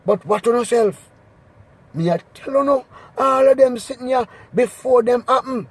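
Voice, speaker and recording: A man with a Jamaican accent doing an expressive, emphatic scripture reading in Patois. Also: very high voice, normal speed, breathy edge. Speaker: Bible reader.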